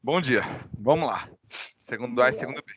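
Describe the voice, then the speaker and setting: A Brazilian man, a high school teacher with a cold, giving informal class announcements online and addressing students directly. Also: a little fast, no echo, lo-fi sound. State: recovering from a cold. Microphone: microphone.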